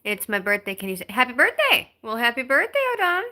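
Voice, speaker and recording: A woman in her thirties chatting casually on a live stream into her phone at home, reading out viewer comments and talking in a halting way, with many ums and restarts. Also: on the high side, big pitch swings, pushed and bright. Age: 30s.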